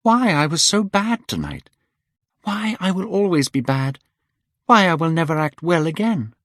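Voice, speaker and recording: A man's voice: sing-song, measured pace, fairly bright tone, no echo. A man voicing a tender, desperate young woman who is a stage actress, in a dry audiobook recording. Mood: plaintive. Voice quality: high, pleading.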